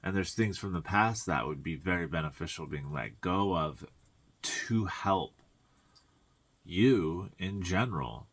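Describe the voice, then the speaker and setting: Male spiritual coach, very low voice, informal solo delivery, reflective and halting, with colloquial asides. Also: animated, a bit bright, husky. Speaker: tarot reader and spiritual guide.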